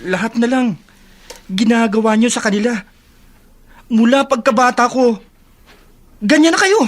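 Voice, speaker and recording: An actress with a Filipino accent playing a weary, resentful daughter in a radio drama, plaintive in manner. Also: female voice, on the high side, normal speed, close to an everyday voice. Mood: hurt, resentful. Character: weary, resentful grown child feeling neglected.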